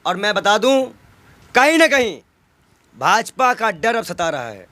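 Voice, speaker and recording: A man's voice: sits high, very animated, measured pace, bright, forceful tone. A man in a heated political interview, arguing emphatically with rhetorical questions and repeated phrases. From North India.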